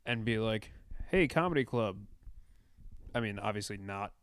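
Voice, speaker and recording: A man's voice: on the low side, expressive, normal speed, clear, resonant tone. An American man co-hosting a podcast, chatting casually and comedically with irreverent, profanity-laced banter. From New England, United States. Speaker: podcast co-host.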